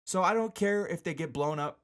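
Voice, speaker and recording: A man's voice: high-pitched, a little fast, fairly bright tone. A man hosting a casual solo radio show, talking informally with slangy asides and repeating words for emphasis. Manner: emphatic repetition for stress.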